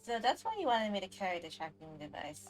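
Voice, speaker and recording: A woman's voice: mid-range voice, big pitch swings, quick pace. A woman livestreamer giving casual commentary as she plays a game, with playful murmured asides. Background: game music.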